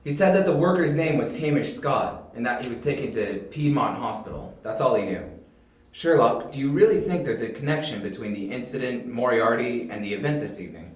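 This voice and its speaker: A man's voice: mid-range voice, expressive, a little fast, lightly acted. A man playing a young detective's precise, questioning brother in an amateur audio drama. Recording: echoey room.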